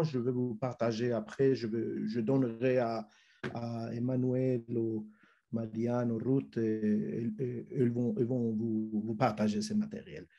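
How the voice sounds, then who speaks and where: A man with a Spanish accent, Spanish being his first language, giving a church webinar presentation over a video call, halting with many restarts. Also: medium pitch, darkish tone, lo-fi sound. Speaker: youth ministry speaker.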